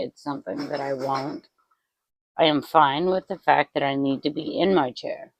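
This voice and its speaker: A woman's voice: very deep voice, gravelly, dry recording, poor audio. A woman in her forties chatting casually to her vlog audience at home, self-mocking and swearing freely. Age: 40s. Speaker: vlogger.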